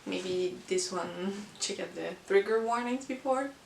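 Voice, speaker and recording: A woman who is not a native English speaker, chatting casually about books as a vlogger in a halting, hesitant way. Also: medium pitch, gravelly, a little mellow. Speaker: book vlogger. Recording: roomy, distant mic with hiss.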